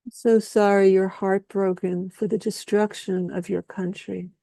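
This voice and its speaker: A woman speaking a slow, solemn spoken-word lament, with repetitive phrasing and long pauses. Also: medium pitch, little pitch movement, creaky, warm tone, no room sound.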